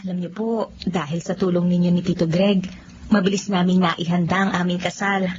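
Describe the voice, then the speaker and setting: A woman's voice: lowish voice, level tone, brisk, close to an everyday voice. Lady playing a devoted young filipina bride in a radio romance, emotional, muffled n noisy. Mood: contented. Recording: muffled lo-fi audio with clear background noise.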